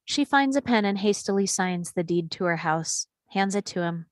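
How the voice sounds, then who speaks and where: A woman's voice: mid-range voice, expressive, normal speed, no echo, studio quality. A woman reading scripted screenplay action and scene headings as a narrator at a table read. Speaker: stage directions reader.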